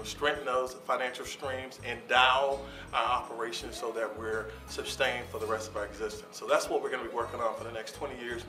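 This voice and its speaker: A man, founder of an organization, giving steady, measured interview answers full of figures and dates. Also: medium pitch. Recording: roomy sound from a distance with music underneath.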